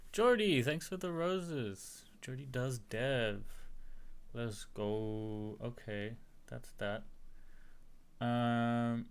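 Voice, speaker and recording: A man with a slight American accent, chatting casually while teaching a live-streamed software tutorial and answering viewers. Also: medium pitch, extremely slow, clear, resonant tone.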